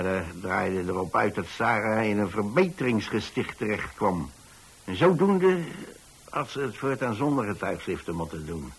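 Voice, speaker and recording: A man's voice: low-pitched, a little hiss or hum. An actor playing a kindly retired landlord, an older man telling a story in a hesitant, folksy, conversational way for radio drama. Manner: hesitant, folksy, chatty, with small asides. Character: kindly retired landlord telling about his young lodger.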